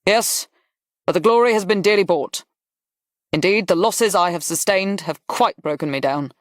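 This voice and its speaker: A narrator voicing a brisk, blunt aristocratic general in an audiobook, with clipped, emphatic phrasing. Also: mid-range voice, dry recording, clean studio sound, pitched above the performer's own voice, close to an everyday voice. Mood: brisk, weary. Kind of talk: audiobook character dialogue.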